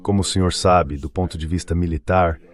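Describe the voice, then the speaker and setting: A man giving formal testimony as a military officer, measured and guarded. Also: low-pitched, fairly even intonation. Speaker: admiral testifying to lawmakers. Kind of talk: formal testimony, translated voice-over.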